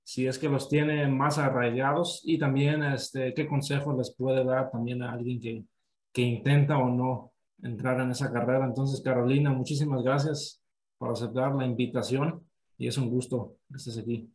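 A man introduces his guest politely, pausing on "este" as he gathers his thoughts. His voice sits at a middle pitch and carries the ring of an echoey room. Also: level tone, darkish tone. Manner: polite, hesitant, uses "este" fillers. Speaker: interview host. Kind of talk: hosting an interview.

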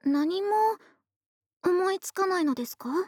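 A voice actress playing a polite, doting young woman next door in an intimate, soft-spoken ASMR roleplay. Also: extremely high pitch, normal speed, no room sound, clean studio sound. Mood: politely eager. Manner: soft-spoken, courteous, polite speech, affectionate. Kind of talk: Japanese ASMR situation voice drama, intimate roleplay. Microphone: close studio microphone, dry.

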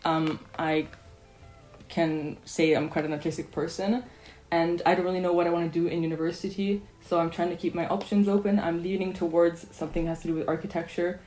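A teenage girl whose first language is Italian talks through her answers in a casual, unhurried way, filling gaps with ums. Her voice sits a little low, and the room around her rings with echo. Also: level tone, a little mellow, soft background music. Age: teens. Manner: hesitant, many ums, thinking aloud. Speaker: student guest. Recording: echoey room.

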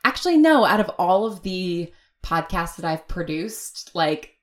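A woman's voice: on the low side, big pitch swings, a little slow. A woman speaking as an interview guest, candid and opinionated, in a casual chatty style with lots of "like". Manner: candid, opinionated, frequent filler "like". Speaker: audio fiction writer and producer, interview guest.

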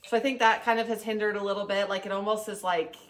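A young adult woman co-hosting a casual podcast, chatty and animated with lots of fillers, sounding a little nasal and sniffly from a recent illness. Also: medium pitch, quick pace. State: slightly nasal and sniffly, getting over an illness. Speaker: podcast co-host. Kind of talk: casual chat.

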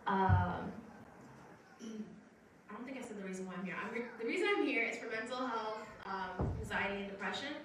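A woman's voice: medium pitch, moderate pace. An eighteen-year-old woman gives a brief testimony at a church service and carefully reads a scripture passage. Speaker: program resident giving testimony. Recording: distant mic, heavy room echo, background noise.

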